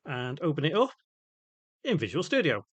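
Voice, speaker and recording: A man with a voice pitched a little higher than average presents a software tutorial. He talks in an explanatory way, walking through the steps one at a time and setting out technical details piece by piece. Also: huge pitch swings, normal speed. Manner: explanatory, spells out code piece by piece. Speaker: tutorial host. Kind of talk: step-by-step software tutorial narration.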